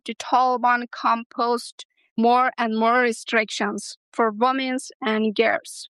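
A woman's voice: a little high, fairly bright tone, no room sound, low-quality recording. Young woman, earnest and careful. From Afghanistan. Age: young adult. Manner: earnest, careful, restarts phrases. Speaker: interviewee. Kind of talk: interview answers in English.

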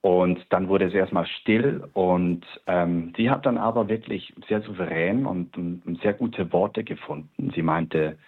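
A man's voice: sits low, a little slow, hardly any pauses, slightly rough. A man from Switzerland, a guest artist, telling his story in an interview with frequent hesitations and restarts. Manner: hesitant, many fillers and restarts. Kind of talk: personal interview account. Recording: tinny phone-like line.

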